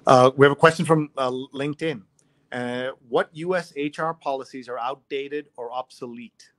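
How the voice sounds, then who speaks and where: A man's voice: mid-range voice, moderate pace, a bit bright. A man hosting a live online show, interviewing in a casual, chatty way.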